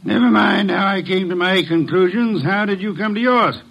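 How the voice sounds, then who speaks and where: Casting: pompous armchair sleuth, higher-pitched, exacting and condescending, classic radio drama. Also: male voice, normal speed, gravelly, a bit bright, full character voice. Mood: probing, brusque. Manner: pompous, aloof, erudite, precise diction. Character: pompous, woman-scorning armchair private detective.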